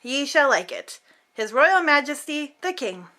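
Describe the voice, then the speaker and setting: A woman playing a pompous, high-voiced storybook king. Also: very animated, measured pace, bright, forceful tone, heavily acted. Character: pompous storybook king issuing a royal proclamation.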